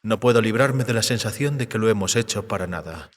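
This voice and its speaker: A man voicing an urbane, cautious, learned male vampire in an audiobook drama, measured, erudite and resigned. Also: fairly low pitch, lively intonation. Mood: measured, sceptical. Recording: cave-like reverb.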